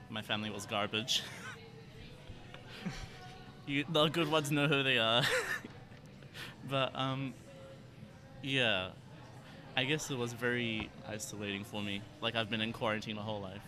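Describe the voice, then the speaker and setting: A man candidly sharing a personal story in a wry, halting way, laughing at himself between ums. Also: mid-range voice. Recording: harmonica music playing behind.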